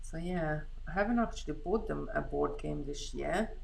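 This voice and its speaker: A woman's voice: sits low, moderate pace, vocal fry, poor audio. A middle-aged woman from Hungary chatting casually on a live craft stream, rambling and thinking aloud as she works. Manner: rambling, chatty, thinking aloud while working with her hands.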